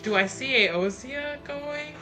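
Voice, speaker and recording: A woman's voice: high voice, takes it slowly, music in the background. A woman talking casually as a player in a tabletop roleplaying game. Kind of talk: casual questioning game talk.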